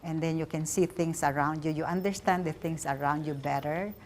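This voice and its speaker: A woman's voice: deep voice, steady tone, a little fast, a little hiss or hum. A woman with a Filipino accent, a physics instructor, talking about teaching in an interview style. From Philippines. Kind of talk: documentary interview and teaching talk.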